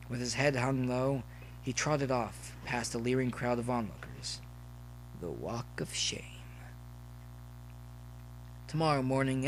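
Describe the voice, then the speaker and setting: A man's voice: mid-range voice, low-quality recording. Man narrating fiction, faint background noise. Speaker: audio fiction reader. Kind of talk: scripted story narration with asides.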